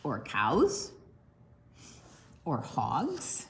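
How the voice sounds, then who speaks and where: Older woman reading slowly, very lively pitch. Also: slight background noise. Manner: expressive, drawn-out reading with explanatory asides. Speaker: storytime reader. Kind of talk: read-aloud picture book storytime for young children with asides.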